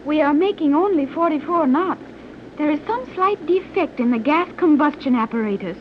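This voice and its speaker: A very high-pitched young woman speaks in formal, exact sentences with cool logic. She is a submarine pilot in an old radio serial, heard through a dull, slightly hissy recording. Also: expressive, moderate pace. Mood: cool, precise. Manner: formal, precise, unemotional diction without contractions. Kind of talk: 1930s radio serial acting.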